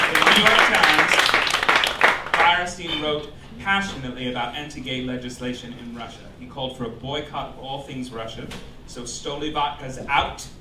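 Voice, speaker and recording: A man performing a scripted satirical monologue on a cabaret stage, delivering mock-formal announcements in an arch, campy manner with emphatic punchlines. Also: on the high side. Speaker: comedian presiding as chairman of a mock club. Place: live cabaret club stage before an audience. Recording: roomy live club recording, distant, loud noise. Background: audience laughter, loud room noise.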